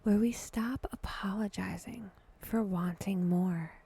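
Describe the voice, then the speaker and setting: A woman guiding a meditation in a hushed voice that drifts toward a whisper, speaking deliberately in short phrases with long pauses. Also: medium pitch, slightly rough. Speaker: guided meditation host. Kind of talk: slow, breathy guided meditation narration.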